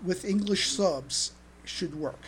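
A podcast host chatting casually in a halting, stammering way, often repeating words. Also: medium pitch. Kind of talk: casual panel discussion. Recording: noticeable background noise.

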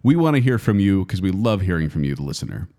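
A man's voice: low-pitched, very animated, quick pace, warm tone. A man co-hosting a podcast, chatting casually and joking with his co-hosts, laughing often. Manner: jokey, laughs often, plugs his improv shows. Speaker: podcast co-host. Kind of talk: casual banter.

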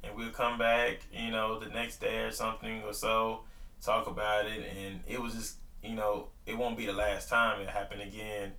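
A man's voice: fairly low pitch, completely flat delivery, normal speed, gravelly, sharp tone, roomy sound, recorded from a distance. A man in his early twenties, talking casually about his marriage and faith, with lots of fillers and loose grammar. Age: 20s. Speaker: podcast co-host. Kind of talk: casual faith-based couple talk.

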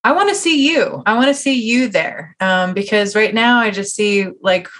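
A woman hosting a podcast, talking in a casual, informal and teasing conversational way with animated, slangy phrasing. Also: mid-range voice, moderate pace. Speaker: podcast host and tattoo artist. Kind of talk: casual interview chat.

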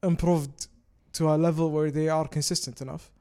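Young male co-host, laid-back, Arabic fillers mixed into English, a bit high-pitched. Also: flat delivery, normal speed, mellow. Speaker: podcast co-host. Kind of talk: casual sports chat.

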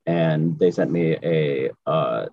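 A man chatting casually as a podcast host, in an informal, slangy and emphatic way. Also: slow pace. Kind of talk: casual chat. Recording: roomy, muffled low-fidelity audio.

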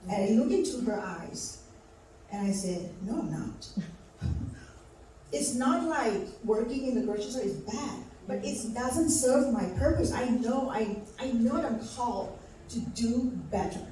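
A woman's voice: a little high, lively intonation, measured pace, dark tone. A woman with a Thai accent giving a personal motivational talk, telling her story to an audience and repeating words for emphasis. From Thailand. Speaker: conference speaker. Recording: echoey hall from far off, faint noise.

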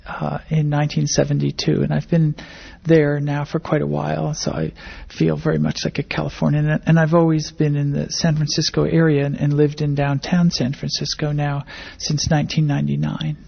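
An older American woman with a husky, creaky voice gives conversational interview answers. The pitch moves little and the phrasing is thoughtful and hesitant. The recording is dull and lo-fi. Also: completely flat delivery, normal speed. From grew up in Indonesia and the Philippines, American-born. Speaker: interviewee, academic biologist.

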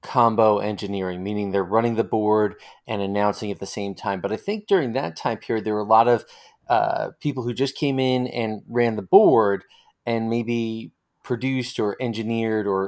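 A middle-aged man acts as interviewer in a conversational oral-history exchange. His pitch is a bit on the low side. He feels his way toward each question, circling around before he asks it. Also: normal speed, darkish tone. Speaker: interviewer, host.

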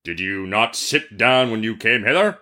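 A man's voice: fairly low pitch, lively intonation, moderate pace, sharp tone. A man voicing a huge, ancient, wrathful genie in a storybook tale, speaking in a menacing, commanding, formal way. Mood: wrathful, stern.

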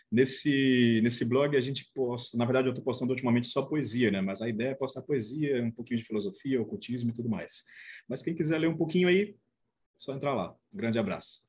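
A man's voice: mid-range voice, fast, darkish tone, husky. A Brazilian man chatting enthusiastically as a panelist, casual and often checking for agreement. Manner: enthusiastic, frequently seeks agreement. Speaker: panelist on a live online discussion show. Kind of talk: casual chat, closing remarks.

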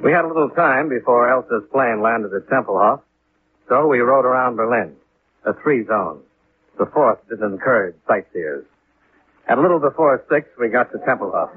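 A man's voice: medium pitch, expressive, normal speed, natural voice. A man playing a wry, hard-boiled American insurance investigator in a 1950s radio detective drama, with clipped, dry delivery. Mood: brisk, wry narration. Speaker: lead actor and narrator. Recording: muffled, lightly noisy 1950s radio recording.

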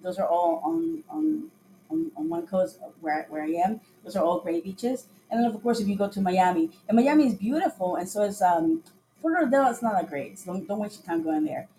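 Chatty woman guest from Costa Rica with a relaxed, mellow voice who tells stories and acts out the dialogue. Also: mid-range voice, a little fast, slight background noise, low-quality recording. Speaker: podcast guest. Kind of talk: casual chat on a livestream.

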